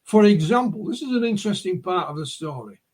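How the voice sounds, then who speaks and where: A man's voice: high-pitched, animated, fast talker, darkish tone. A man with a Northern English accent, a guest on an interview podcast, retelling witness accounts at length and often restarting his words. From North of England. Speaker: guest, UFO researcher and author.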